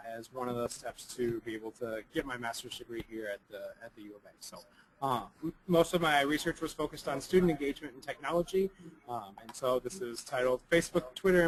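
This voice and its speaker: A young man with a slightly raspy voice from a cold gives a conference presentation, halting often with ums and restarts. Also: medium pitch, poor audio.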